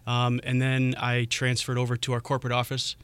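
A man being interviewed on a radio show. His voice is smooth and resonant, with a bright edge. He answers in a friendly but halting way, with plenty of ums. The studio mic is close and dry. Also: mid-range voice, monotone, no echo. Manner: hesitant, lots of "um"s, friendly. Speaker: restaurant creative director, radio guest. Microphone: studio microphone.

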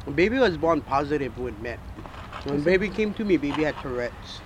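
A woman's voice: deep voice, expressive, a little fast, background noise. A woman community leader with a Hawaii Pidgin accent, telling a story with easy humor outdoors.

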